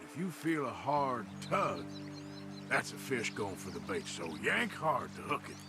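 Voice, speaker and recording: An actor playing a weary middle-aged outlaw cowboy, talking in a patient, plainspoken drawl in a game cutscene. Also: male voice, mid-range voice, very animated, a little slow. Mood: easygoing. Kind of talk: video game cutscene acting. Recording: game audio with music underneath.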